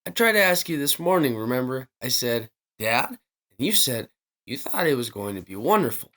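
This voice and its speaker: A man's voice: medium pitch, very animated, moderate pace, a bit bright. An impatient American teenage son in an old radio sitcom. His voice leaps around expressively as he protests. He comes across earnest and thoroughly put-upon. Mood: protesting, aggrieved.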